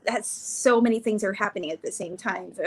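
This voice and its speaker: A young woman, co-hosting a live art sale and reading artist bios in a chatty way, with frequent restarts and quick laughs. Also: medium pitch, expressive, crowd noise. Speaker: livestream co-host.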